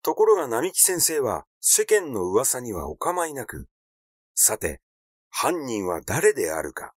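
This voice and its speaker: A man's voice: medium pitch, very animated, measured pace, husky, no echo. Man narrating a mystery, dry close sound. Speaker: audiobook narrator. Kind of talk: literary narration of a mystery story.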